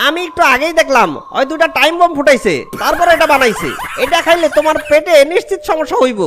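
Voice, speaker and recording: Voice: high-pitched, expressive, fast talker. A performer voicing everyday characters in a cartoon, in dialogue. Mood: grumbling. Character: assorted cartoon figures: snack vendor, grumbling customer, schoolboy, father.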